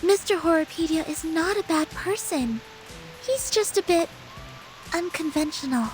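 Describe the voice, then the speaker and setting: A woman's voice: very high-pitched, expressive, normal speed, soft background music, rough recording. An actress playing a thoughtful, diplomatic young woman in a video game. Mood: tactful. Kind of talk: English video game character acting.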